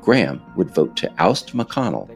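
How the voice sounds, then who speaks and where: Deep American man, scripted political narration, lively intonation, measured and emphatic, faint music behind. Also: gravelly. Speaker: author narrating his audiobook episode.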